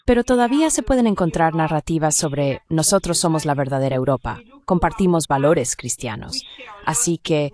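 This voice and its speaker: A woman with a low-pitched voice speaks as an academic guest in an interview. She explains her points analytically and at length, in a discursive manner. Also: a little mellow. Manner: analytical, discursive, with rhetorical check questions.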